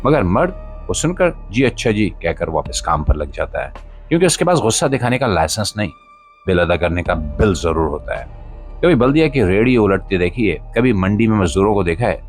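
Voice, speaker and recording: A man narrates a scripted spoken-word monologue in a solemn, emphatic, rhetorical manner, with short pauses between phrases. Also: sits low, sing-song. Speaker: podcast host and voiceover narrator. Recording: voice-over with music behind.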